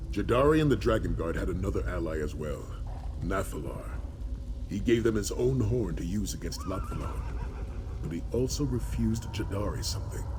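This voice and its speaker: Deep-voiced, grave warrior-scholar ally. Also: male voice. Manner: measured, expository storytelling. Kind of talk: fantasy video game acting. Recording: noisy game ambience behind.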